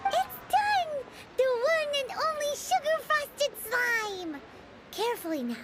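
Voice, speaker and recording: An extremely high, childlike fairy sidekick from a video game. The squeaky voice bounces up and down with cheerful excitement and bubbly exclamations. Loud noise sits behind it. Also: female voice, takes it slowly, heavily acted. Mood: excited, delighted. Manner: bubbly, exclaiming, speaking to a traveling partner.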